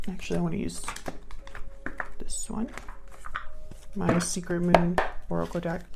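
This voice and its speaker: A woman's voice: medium pitch, darkish tone. A woman giving a casual spoken tarot reading, often repeating her prompts to herself as she goes. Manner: murmurs card prompts to herself, repeating them. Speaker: tarot reader, channel host. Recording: clear background noise with card shuffling clicks.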